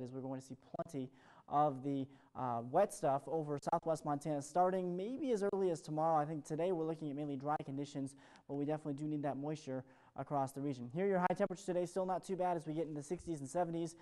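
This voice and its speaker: Fast-talking American TV weatherman, mellow voice. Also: on the high side. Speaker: television weather forecaster. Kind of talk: ad-libbed broadcast weather forecast.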